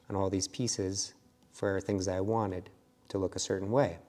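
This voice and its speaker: A middle-aged man with a fairly low voice explains a woodworking software workflow. He speaks in a relaxed, explanatory way and often fills gaps with "uh." A lapel mic picks him up inside his workshop. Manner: relaxed, explanatory, with frequent fillers. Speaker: woodworking instructor. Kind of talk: software tutorial walkthrough. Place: wood workshop indoors.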